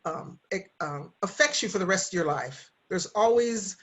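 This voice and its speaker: A woman's voice: medium pitch, expressive, moderate pace, fairly bright tone. A middle-aged woman chatting casually and candidly, telling personal stories in a reflective way. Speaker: podcast host and writer. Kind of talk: casual conversational storytelling.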